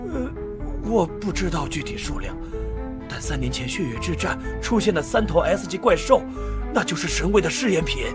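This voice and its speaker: A male actor plays a captive scientist obsessed with human evolution in a motion-comic drama. The voice is high for a man and swings widely as he explains and warns dramatically, with music clearly behind. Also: animated, normal speed, close to an everyday voice. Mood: explaining, warning.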